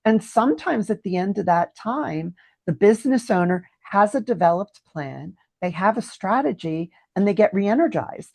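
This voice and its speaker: A middle-aged woman whose first language is French, answering interview questions in a thoughtful, hesitant way with frequent pauses. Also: mid-range voice, darkish tone, no room sound. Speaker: guest. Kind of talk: casual podcast interview.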